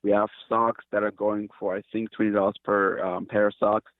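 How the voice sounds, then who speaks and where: A man from Cameroon speaks in a low, fairly monotone voice, stopping and restarting as he searches for words. He comes through a thin, tinny phone line with a dry, close sound. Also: normal speed, no room sound. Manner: hesitant, restarts often, earnest. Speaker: company founder, guest. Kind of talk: casual interview talk.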